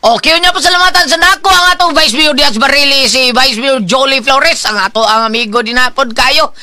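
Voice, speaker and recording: A woman's voice: high-pitched, a little fast, sharp tone. A woman with a Cebuano accent hosting a radio show and endorsing products in a conversational, ad-lib way.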